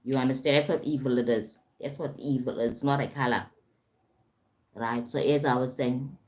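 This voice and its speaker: A woman with a very deep voice, talking casually on a live stream as a spiritual coach, often repeating herself and checking in with "right?". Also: steady tone, normal speed, a little mellow. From South Africa. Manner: repetitive, frequent "right?" check-ins. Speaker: livestream host, spiritual coach.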